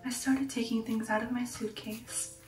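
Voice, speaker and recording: A breathy, whispering vampire noblewoman, played by a woman, tells her tale in an intimate ASMR roleplay. Her voice is fairly high and evenly pitched, with genteel poise and slow, sensual pauses. It sits in a roomy echo with music behind it. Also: level tone, darkish tone, distant mic, lightly acted. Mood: hushed, reminiscing. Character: female aristocratic vampire, poised, sensual, recounting her past. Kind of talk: ASMR roleplay storytelling, whispered and soft-spoken.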